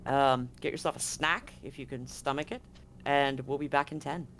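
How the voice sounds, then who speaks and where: A man's voice: medium pitch, fairly even intonation, normal speed, fairly bright tone. A man speaking informally and conversationally as game master, narrating a live-streamed tabletop game.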